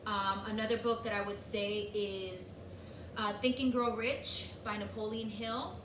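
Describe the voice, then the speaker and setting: A woman's voice: mid-range voice, steady tone, a little slow. A woman whose first language is Spanish, co-hosting a casual chat and talking with lots of fillers and restarts. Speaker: co-host. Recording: echoey room, distant mic, noisy.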